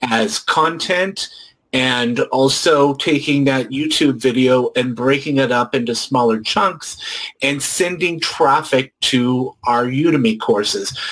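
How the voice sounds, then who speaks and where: A man's voice: mid-range voice, a bit bright, poor audio. A man with a mid-range voice talks as a co-host in a casual panel discussion. He explains things step by step and offers advice like an instructor. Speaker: podcast co-host and online course instructor.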